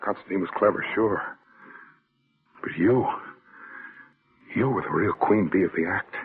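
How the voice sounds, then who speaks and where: A man's voice: deep voice, unhurried, slightly rough, heavily acted. An actor playing a hard-boiled, haunted man narrating and living a murder plot in a terse, sardonic 1940s radio thriller style. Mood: bitter realization. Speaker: actor narrating as lead character. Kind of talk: 1940s radio thriller acting, first-person narration and dialogue. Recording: tinny old radio recording, loud music behind.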